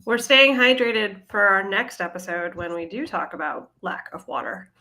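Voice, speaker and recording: A woman's voice: medium pitch, normal speed, pushed and bright, low-quality recording. A woman talking casually as a co-host in a book club podcast conversation. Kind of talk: casual chat.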